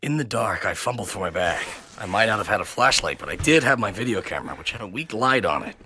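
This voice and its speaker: A man reading a first-person horror story in an intense, theatrical manner that swings between hushed and loud. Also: lowish voice, animated, fast, a little hiss or hum. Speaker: narrator and reader of a horror story.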